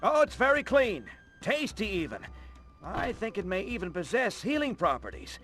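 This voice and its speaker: An actor playing a chipper, helpful eccentric in a video game, with a chatty, matter-of-fact manner. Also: male voice, sits high, sing-song, normal speed, a bit bright, soft background music. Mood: upbeat, helpful. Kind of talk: animated video game voice acting.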